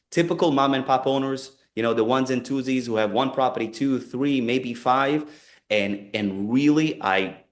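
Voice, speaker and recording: A man in his forties with an Israeli accent, talking earnestly in a webinar and often repeating and restarting phrases. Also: medium pitch. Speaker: company co-founder presenting a webinar. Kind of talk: conversational webinar talk.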